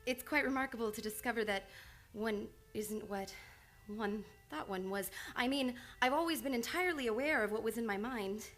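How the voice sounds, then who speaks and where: A woman's voice: on the high side, moderate pace, hardly any pauses, a bit bright, natural voice. An actress playing an earnest, reserved woman psychiatrist in an old-time radio drama, speaking formally and precisely. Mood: nervous, confessing. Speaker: radio play actor. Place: radio studio.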